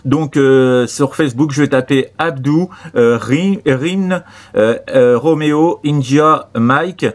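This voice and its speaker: A man's voice: medium pitch, slow. A man chatting casually as an amateur radio operator, repeating himself with friendly sign-offs. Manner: repetitive, filler-heavy, friendly sign-offs. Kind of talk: casual radio contact chat.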